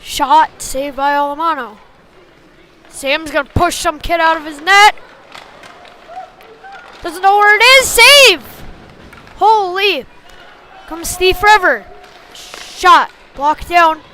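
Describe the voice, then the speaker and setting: High-pitched woman calling hockey, noisy background. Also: takes it slowly, long pauses. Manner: short clipped phrases, excited on big plays. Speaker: play-by-play commentator. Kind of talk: live youth ice hockey commentary.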